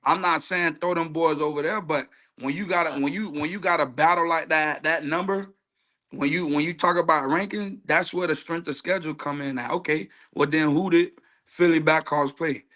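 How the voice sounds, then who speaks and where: A man with a slightly high voice talks as a coach on a casual sports show. He argues emphatically in street slang, hammering points home by repeating phrases. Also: fairly even intonation, normal speed, a bit bright. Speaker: youth football coach, show guest. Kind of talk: casual, argumentative sports chat.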